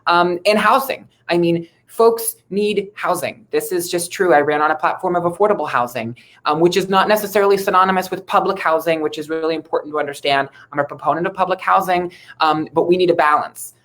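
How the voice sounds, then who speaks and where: A woman from Ohio with an American accent, answering interview questions in an earnest, measured, conversational way as a local politician. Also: fairly low pitch, fairly bright tone. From Ohio, United States. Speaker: city council member, interview guest.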